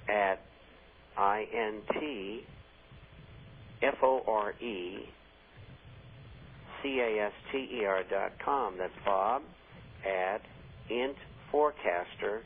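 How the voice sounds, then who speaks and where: A man hosting a radio talk show, speaking slowly and haltingly with many fillers while losing his voice. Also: on the low side. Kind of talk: casual radio talk and listener questions. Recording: tinny phone-line radio audio with faint hiss.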